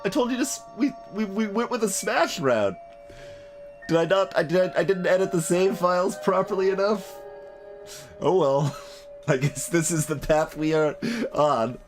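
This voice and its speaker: A man's voice: high voice, normal speed, faint music behind. A man hosting a let's play, giving casual commentary on the game as he goes.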